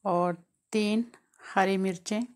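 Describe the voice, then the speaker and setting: A woman from Pakistan narrates her home cooking video step by step. Her delivery is calm and explanatory, with a mid-height voice that stays flat and even, barely rising or falling. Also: medium pitch, takes it slowly. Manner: calm, explanatory, walks through each step. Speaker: home cooking channel host.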